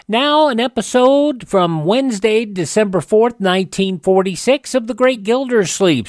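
A male radio host reading scripted introductions. His delivery is lively, and the sound is very dry and close. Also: high voice, dry recording.

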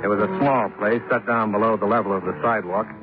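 A man's voice: on the low side, steady tone, fast talker, phone-quality sound, lightly acted. Guy playing a hardboiled 1950s radio detective, clipped and dry, thin old recording with music under it. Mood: dry narrating. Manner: matter-of-fact, clipped, hard-boiled. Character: brisk, level-headed male private insurance investigator narrating his case. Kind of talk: 1950s radio detective drama acting and narration.

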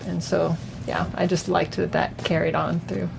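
A woman chatting casually and unscripted as a guest giving a film review, with a hesitant, halting delivery. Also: lowish voice, little pitch movement, gravelly, rough recording. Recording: noticeable background noise.

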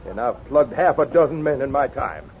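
An actor playing a brash, hot-headed gunslinger villain in an American 1950s radio western, blustering and taunting. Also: male voice, mid-range voice, animated, normal speed, background noise, lightly acted. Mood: taunting, menacing. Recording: muffled 1950s radio, busy background.

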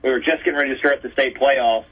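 A man's voice: mid-range voice, fairly even intonation, fast, slightly rough. A man in his thirties from North Carolina, a sports announcer answering interview questions casually over a muffled telephone-like line. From Raleigh area, North Carolina. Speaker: radio play-by-play announcer, interview guest.

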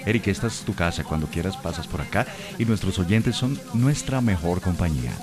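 A man from Bogotá, Colombia, hosts a radio interview in his Colombian accent. His voice is very deep, and he keeps backing up his guest with short agreeing sounds. Faint music plays under him. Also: lively intonation, a little fast. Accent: Bogotá Colombian Spanish. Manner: affirming, warm sign-off, frequent "ujú". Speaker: radio host.

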